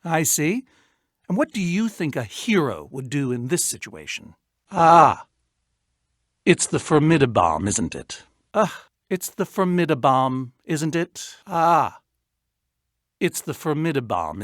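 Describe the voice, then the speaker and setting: Game role: eccentric reclusive adventurer, wistful and fussy, theatrical wordy delivery with lively pitch swings, very dry close recording. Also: male voice, takes it slowly, no echo, heavily acted. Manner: theatrical, wordy, dryly self-pitying. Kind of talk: animated video game character acting.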